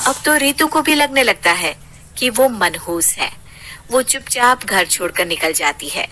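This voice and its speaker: Bright, expressive female story narrator. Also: on the high side, measured pace, rough recording. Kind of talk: scripted cartoon moral-story narration.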